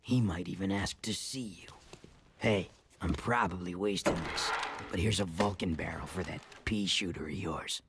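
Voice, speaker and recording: An actor playing a stern, no-nonsense underground resistance commander in a video game, gruff and curt. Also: male voice, sits low, normal speed, heavy background noise. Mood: gruff, grave. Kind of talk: video game cutscene acting.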